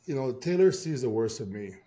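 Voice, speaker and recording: A man in his late thirties co-hosting a casual chat, relaxed, reading things off a screen as he talks. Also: mid-range voice, brisk, crowd noise. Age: 30s. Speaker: podcast co-host.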